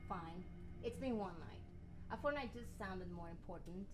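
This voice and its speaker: A woman's voice: medium pitch, expressive, measured pace, music in the background, close to an everyday voice. A woman playing a young zoologist, a stranded survivor, melodramatically in an amateur radio-play read. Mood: weary. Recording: echoey room.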